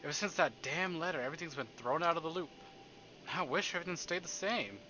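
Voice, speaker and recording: A man streaming a visual novel, reading it aloud theatrically and deliberately, with livelier asides. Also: fairly high pitch, sharp tone, muffled recording, a little hiss or hum. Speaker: game streamer. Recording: music behind the voice. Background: game background music.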